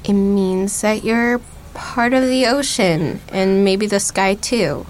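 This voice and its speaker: A woman's voice: medium pitch, slow, slight background noise, lightly acted. An American woman acting a guarded, defiant young girl, wounded but curious, in a naturalistic radio play. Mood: wistful.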